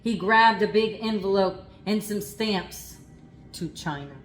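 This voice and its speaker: A woman reading a picture book aloud in a dramatic children's narration, expressive and stretching words for suspense. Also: medium pitch, slow.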